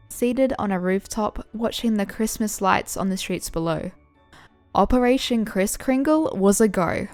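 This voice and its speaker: A woman narrating and hosting fan fiction audio in an expressive storytelling style. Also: fairly high pitch. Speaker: narrator and host. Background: background music.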